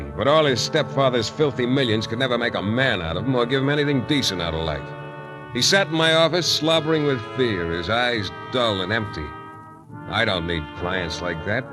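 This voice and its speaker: A man's voice: mid-range voice, close to an everyday voice. An American actor playing a wisecracking, unimpressed male private detective in 1940s radio drama, sardonic and slangy. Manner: sardonic, flippant, clipped slangy talk. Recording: vintage 1940s radio recording.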